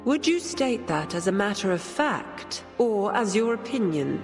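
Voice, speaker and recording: An actress playing a stern, skeptical tribunal judge in a game, questioning in a formal, clipped manner. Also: female voice, mid-range voice, big pitch swings, unhurried. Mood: cool, formal. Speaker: presiding judge. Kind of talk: dramatic game voice acting. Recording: long hall reverb, music behind.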